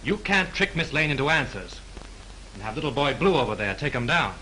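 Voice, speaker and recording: A man's voice: medium pitch, very animated, bright, forceful tone, rough recording. An actor playing a brash, sarcastic young man who turns amateur detective, in 1930s film style, with faint hiss from an old film soundtrack. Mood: wry. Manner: brash, quick-witted, sarcastic.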